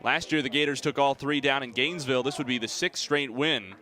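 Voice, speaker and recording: A young American man doing live sports play-by-play, describing the action in crisp detail over venue noise. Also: medium pitch, a little fast, bright, forceful tone. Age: young adult. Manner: crisp detailed descriptions, voice raised on big plays. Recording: live game ambience, clear background noise. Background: sports venue sounds.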